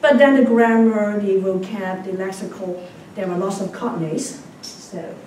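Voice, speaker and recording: A woman's voice: mid-range voice, fairly even intonation, unhurried, a little mellow. Lecturing woman in an echoey hall. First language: Cantonese. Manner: chatty, checks in with "okay?". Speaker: guest lecturer. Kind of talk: academic lecture. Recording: very echoey hall from far off, faint noise.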